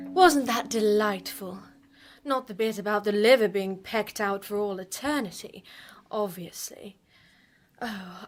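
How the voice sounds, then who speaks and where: A woman playing a playful, flirtatious 1930s radio hostess with macabre wit and an arch, teasing, drawn-out delivery. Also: fairly high pitch, close to an everyday voice. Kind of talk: theatrical scripted radio presenting. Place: live on a festival stage.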